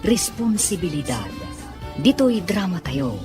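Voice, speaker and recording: A woman announcer with an Ilocano accent delivers scripted radio drama narration. Her voice is low and moves widely in pitch, with clear music playing underneath. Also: sing-song, unhurried.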